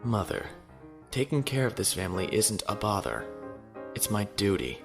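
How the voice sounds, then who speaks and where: A man's voice: on the low side, big pitch swings, normal speed, gravelly, over music. A man playing a dutiful, courteous young naval lieutenant in a science fiction audio drama. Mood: concerned. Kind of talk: audio drama acting, log narration.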